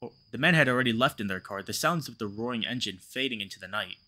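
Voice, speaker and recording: A man voicing a battered, weary animal hero in measured, dramatic first-person visual novel narration. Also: mid-range voice, expressive, pushed and bright, voice pushed down. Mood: somber, weary. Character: battered, weary, stoic male animal narrator, gently reassuring.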